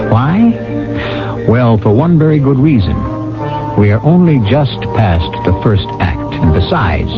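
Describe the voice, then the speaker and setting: Male mystery narrator, lowish voice, highly animated, wry rhetorical delivery, old radio broadcast, loud music behind. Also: muffled recording. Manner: rhetorical, wry, deliberate, asks and answers questions. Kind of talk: theatrical scripted narration.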